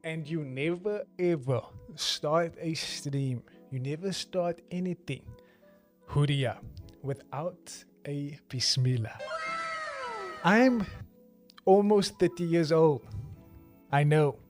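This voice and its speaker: Slow-talking South African man streamer. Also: fairly high pitch, faint music behind. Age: 20s. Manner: joking, rambling, talks straight to chat. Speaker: livestreamer. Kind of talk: casual live stream chat.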